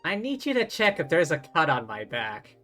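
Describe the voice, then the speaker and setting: A man's voice: fairly high pitch, sing-song, normal speed. A man who streams games, reading dialogue and narration aloud dramatically, with casual asides. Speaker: game streamer. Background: game music.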